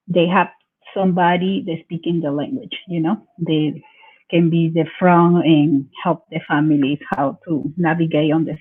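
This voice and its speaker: A woman from Venezuela with a Venezuelan Spanish accent, a bilingual parent educator who speaks Spanish as her first language and answers in a halting way, often searching for words. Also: lowish voice, slightly rough. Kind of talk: conversational interview answers. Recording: tinny phone-like call audio.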